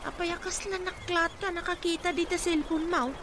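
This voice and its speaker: A woman's voice: extremely high pitch, fairly even intonation, moderate pace, background noise. An actress playing a concerned, insistent female co-worker at a mansion in a radio drama, with an Ilocano accent.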